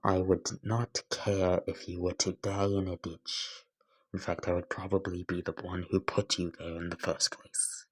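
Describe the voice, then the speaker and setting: A man acts out a bitter, menacing masked cyborg in a told story. The voice is low and husky, with slow, deliberate, deadpan delivery full of cold hatred. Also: low-quality recording. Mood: flat hatred. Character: bitter vengeful young man turned giant masked cyborg, coldly menacing.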